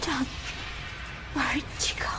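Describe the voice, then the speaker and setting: An actress playing an anguished young female captive, desperate and protective, with halting emotional speech in a game drama. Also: whispered, music in the background, close to an everyday voice. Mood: tender pleading. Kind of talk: video game voice acting, dramatic scene. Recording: very noisy, echoing, reverberant chamber.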